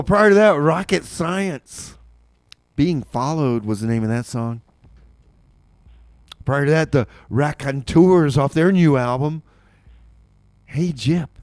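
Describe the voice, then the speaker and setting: A man hosting a community radio music show with casual, rambling, tongue-in-cheek chat, often halting and repeating his words. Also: mid-range voice, a little mellow, smooth, dry recording.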